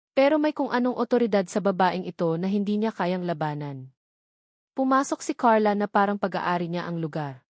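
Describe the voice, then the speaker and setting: A woman's voice: mid-range voice, a little mellow, no echo, studio quality. A woman storyteller reading a scripted romantic drama with dramatic narration.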